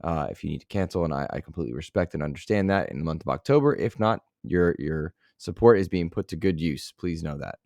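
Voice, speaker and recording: A man's voice: very deep voice, animated, fast, vocal fry, few pauses, darkish tone, no room sound, studio quality. A man hosting a gaming podcast, earnest and reflective, whose voice is getting worn. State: losing his voice. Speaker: podcast host. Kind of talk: casual podcast chat.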